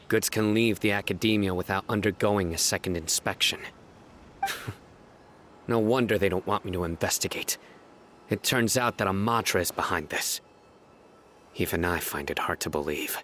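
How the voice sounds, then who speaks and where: An actor playing a stern, serious young male investigator who speaks in a measured, firm, grave way. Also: deep voice, a bit bright.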